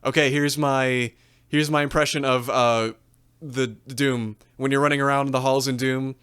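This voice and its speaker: A man playing an awkward stand-up comic who stammers through monster impressions, with halting filler words. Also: medium pitch, flat delivery, fairly bright tone, clear, resonant tone, lightly acted.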